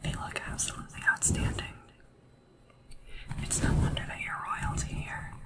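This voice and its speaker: A woman speaking softly and whispering in an ASMR roleplay as a polite, hesitant, deferential sleep assistant to royalty. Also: normal speed, distant mic. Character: nervous, devoted royal sleep assistant to a dragon ruler.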